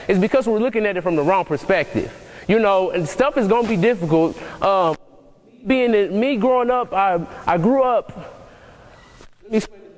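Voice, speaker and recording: A young man giving an earnest, preaching-style motivational talk to a congregation, repeating and restarting his phrases as he builds emphasis. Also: sits high. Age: young adult. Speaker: motivational speaker. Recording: echoey hall reverb.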